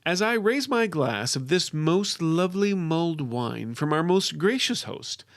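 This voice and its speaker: A man's voice: a little high, big pitch swings, a little slow, no room sound, clean studio sound, voice pushed up. A man playing a wandering minstrel who tells a fantasy tale in a grandiloquent, teasing manner, putting questions to his listeners. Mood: solemn toast. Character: theatrical wandering minstrel tale-teller, playful and knowing. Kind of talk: dramatic fantasy storytelling.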